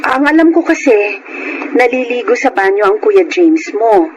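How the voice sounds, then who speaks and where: High-voiced, dutiful Filipina wife. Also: female voice, big pitch swings, measured pace, dull audio, a little hiss or hum. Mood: suspicious, hurt. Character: dutiful adult breadwinner wife growing suspicious. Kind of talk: Filipino radio drama acting.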